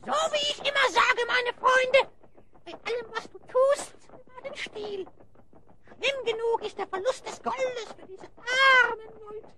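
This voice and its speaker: A suave, pompous hyena spy in an audio play. The voice is extremely high, with florid, rhyming speech, over loud noise. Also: male voice, fairly even intonation, measured pace. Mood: smug, pompous. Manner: florid, pompous, speaks in rhyme. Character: suave male hyena spy, leader of thieves. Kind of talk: German audio drama acting.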